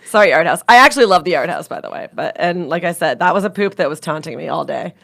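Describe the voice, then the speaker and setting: A woman stand-up comedian chatting on a podcast, with a fairly low voice. She tells long, meandering stories with lively energy. She often breaks into laughter at her own punchlines. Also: quick pace, creaky. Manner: rambling, animated storyteller who laughs at her own jokes. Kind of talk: casual comedic chat.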